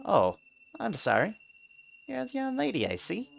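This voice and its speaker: A man's voice: high-pitched, huge pitch swings, unhurried, gravelly, a little hiss or hum, voice pushed up, exaggerated voice. A man voicing a sly talking mouse that pleads politely and later turns into a boastful griffin. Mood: pleading. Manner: pleading and overly polite, then gloating.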